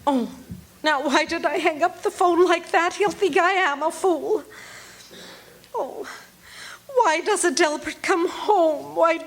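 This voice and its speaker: A woman's voice: extremely high pitch, takes it slowly, long pauses, heavily acted. A woman acting a frightened bedridden wife in a live radio play, stammering and sobbing. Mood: frantic. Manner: frantic, stammering, breaks into sobs. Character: frightened bedridden wife, middle-aged, anxious and demanding.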